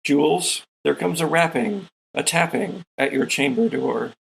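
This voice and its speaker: Man, heavy vocal fry, husky tone, game master, narrating a sci-fi horror tabletop session. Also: fairly high pitch, measured pace, poor audio.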